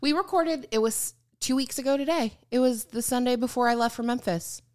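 A woman's voice: fairly high pitch, a little fast. A young woman co-hosting a podcast, wry and joking, sounding a little tired. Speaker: podcast co-host. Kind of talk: casual chat.

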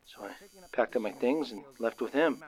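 An actor playing a nervous, excitable young working man of the 1930s, stammering and using folksy slang, in a radio drama. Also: mid-range voice, normal speed, close to an everyday voice, poor audio. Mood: awkward, hesitant. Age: young adult. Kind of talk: old-time radio drama acting.

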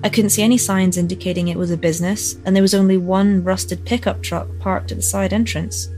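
A woman's voice: medium pitch, fairly even intonation, a little fast, mellow, natural voice. A woman narrating a horror story in the first person as an uneasy young mother. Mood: ominous, tense. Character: uneasy young mother recounting an eerie encounter. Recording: narration over background music.

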